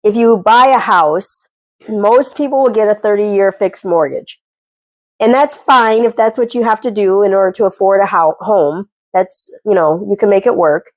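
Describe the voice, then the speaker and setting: A congested woman talks from her home in a chatty, earnest way, laughing at herself now and then. Her voice sits at an ordinary middle pitch. The sound is close and dry, with no room echo. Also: moderate pace. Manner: chatty, earnest, self-deprecating. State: congested from a cold. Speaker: personal finance vlogger. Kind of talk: casual talking-head advice.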